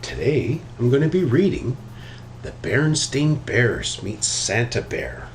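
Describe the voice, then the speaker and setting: A man reading a children's picture book aloud in an expressive, animated way. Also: mid-range voice, a little slow. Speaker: storybook reader. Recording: noticeable background noise.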